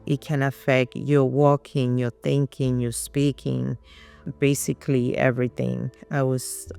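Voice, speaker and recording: This is a middle-aged woman from Puerto Rico, speaking English with a Spanish accent. Her voice is slow and gravelly, with a fairly flat pitch, and she tells her story in a halting but determined way. Soft music plays underneath. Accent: Puerto Rican Spanish. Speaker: interview guest. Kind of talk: personal life story.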